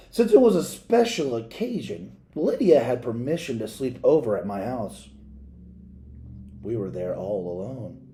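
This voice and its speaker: Male storybook reader, warm dark timbre, dramatic and deliberate delivery. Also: mid-range voice. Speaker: read-aloud reader. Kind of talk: storybook read-aloud, expressive narration.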